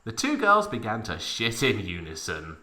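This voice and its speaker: A man's voice: on the low side, wildly swooping pitch, moderate pace, pushed and bright. A man giving a mock dramatic reading of fan fiction, with theatrical, exaggerated emphasis.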